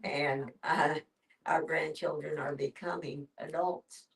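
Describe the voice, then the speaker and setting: An older woman, in her sixties or beyond, sharing casually in a group in a matter-of-fact, halting way. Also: deep voice, fairly even intonation, gravelly, distant mic. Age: 60s or older. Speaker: discussion participant, grandmother.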